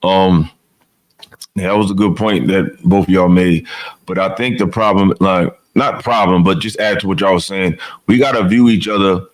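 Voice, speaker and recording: Brief: man, very low voice, calm panelist, weighs his words and adds to others' points. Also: low-quality recording. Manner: measured, thoughtful, builds on others' points. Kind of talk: casual panel discussion.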